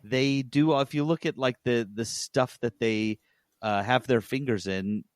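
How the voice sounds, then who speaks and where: Man, medium pitch, dry studio-like sound, podcast co-host, casual opinions, halting explanations. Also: no room sound.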